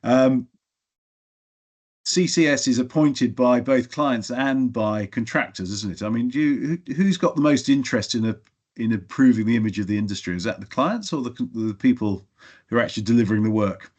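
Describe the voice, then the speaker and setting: A middle-aged man hosts an industry podcast with a mid-pitched voice. He is affable and conversational, sometimes tripping over a word as he puts his questions. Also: moderate pace, a little mellow, lo-fi sound. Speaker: podcast host. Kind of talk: interview hosting.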